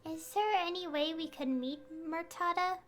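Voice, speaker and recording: A woman voicing an earnest, composed young traveler in an anime-style video game, speaking in a measured, articulate way. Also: very high voice. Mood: thoughtful. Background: soft game music.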